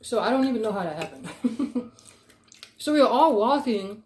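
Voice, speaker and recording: A woman's voice: on the high side, animated, moderate pace, darkish tone, slight background noise. Young female storyteller, informal and chatty, acts out quotes, echoey room sound. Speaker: storyteller, vlog host.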